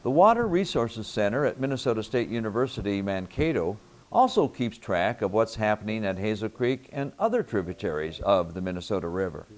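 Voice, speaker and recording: A man narrating a television documentary in a measured, expressive way. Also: lowish voice.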